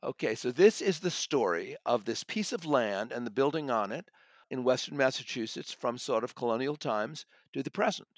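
A man's voice: mid-range voice, animated, normal speed, dry recording. Enthusiastic male book critic, dry sound. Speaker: audiobook critic and podcast guest. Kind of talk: conversational book review.

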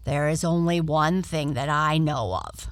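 A woman voicing a talking male frog in a children's story, fussy, excitable and given to formal grumbling. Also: low-pitched, measured pace, no room sound. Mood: coaxing. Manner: formal, complaining, bossy.

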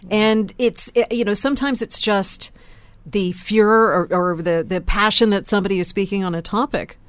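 A woman with a lower voice than average, speaking emphatically and articulately as a panelist on a radio talk show. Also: normal speed, dry recording. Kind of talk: opinionated panel discussion.

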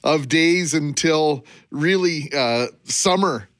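A man's voice: on the high side, slow, fairly bright tone, no room sound. A radio co-host who chats on and on from the studio, often restarting his sentences. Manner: chatty, rambling, with many restarts. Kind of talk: morning radio talk.

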